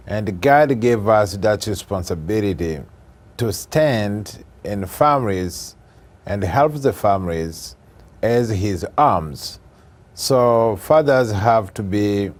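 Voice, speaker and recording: A man answering interview questions in a slow, earnest, deliberate way. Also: lowish voice, darkish tone. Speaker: guest.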